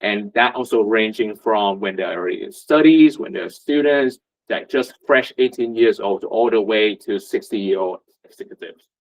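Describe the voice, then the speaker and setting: A man's voice: fairly low pitch, expressive, moderate pace. A man from Vietnam, giving a conversational talk at a meetup as a union representative, full of rhetorical questions and fillers. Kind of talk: presentation at a meetup. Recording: muffled lo-fi recording.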